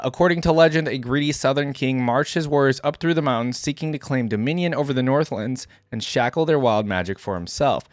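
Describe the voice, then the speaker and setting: A man with a mid-pitched voice presents as a reaction host. The sound is very dry, with no room around it. He moves between casual, enthusiastic fan commentary and reading lore aloud. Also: no room sound.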